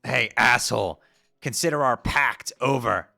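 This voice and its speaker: A man voicing an abrasive teenage troll boy who yells insults and swears constantly. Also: mid-range voice, measured pace, vocal fry, no echo, studio quality, soft background music, full character voice. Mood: angry shouting. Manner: yelling, profane, insulting. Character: furious shouting teen troll boy, abrasive. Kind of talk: fan dub of a chat comic.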